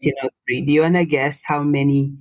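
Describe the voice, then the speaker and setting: A low-pitched woman, a Swahili speaker in her thirties, talking in a relaxed interview. She explains things in an animated way and puts questions to her listener. The sound is close and dry, with no room around it. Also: fast talker, no echo, rough recording. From Tanzania. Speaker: guest, social entrepreneur. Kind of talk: casual interview conversation.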